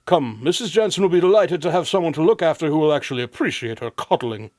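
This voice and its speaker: A man's voice: fairly high pitch, expressive, fast talker, close to an everyday voice. A man playing a courteous, self-assured detective with dry wit and formal, gallant phrasing in a radio drama. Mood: composed, courteous.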